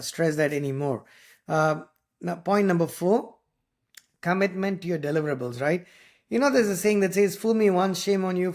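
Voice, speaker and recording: A man from India with an Indian English accent and Tamil as his first language, giving earnest solo podcast advice with many fillers, restarts and "right?" tags. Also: a little high. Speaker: podcast host.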